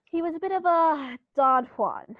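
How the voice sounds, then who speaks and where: Woman playing genteel gentleman squire, high voice, emphatic, theatrical, old radio drama, dry and slightly dull recording. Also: animated, a little slow, no room sound. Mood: confiding. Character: cordial, indignant upper-class English country squire, male. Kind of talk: 1930s-style radio play acting.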